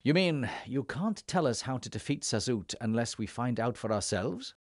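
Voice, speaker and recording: An actor playing an earnest, inquisitive young hero in a fantasy game, speaking in a direct, questioning way. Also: male voice, mid-range voice, expressive, moderate pace, no room sound, studio quality, natural voice. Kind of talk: fantasy game cutscene acting.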